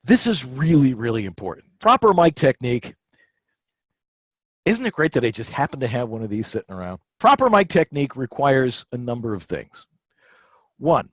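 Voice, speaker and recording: Higher-voiced, animated man teaching voiceover technique. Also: dry recording. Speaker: voiceover instructor. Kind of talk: informal hands-on instructional talk.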